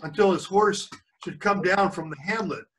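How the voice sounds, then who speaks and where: A man's voice: sits high, moderate pace, fairly bright tone, rough recording. An older man reading a storybook aloud to children as a grandfather would, stumbling over words and correcting himself, with asides to his listeners.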